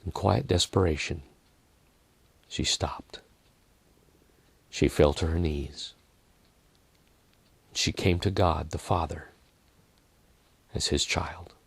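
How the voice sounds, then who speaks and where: A man reading a dramatic story in a measured manner, with deliberate pauses and softened phrases. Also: deep voice, steady tone, vocal fry, breathy edge, no room sound. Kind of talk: dramatic scripted narration.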